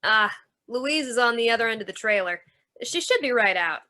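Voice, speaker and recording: Lady doing tough carny manager, higher voice, sharp and forceful, needling slangy radio noir talk. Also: animated, normal speed. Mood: guarded, businesslike. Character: tough, suspicious carnival general manager. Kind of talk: old-time radio noir acting.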